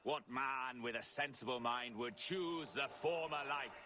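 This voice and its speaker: An actor playing a ruthless, charismatic pirate captain with a theatrical, mocking delivery in a game cutscene. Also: male voice, medium pitch, a little slow, background noise, close to an everyday voice. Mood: rousing. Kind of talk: video game cutscene acting.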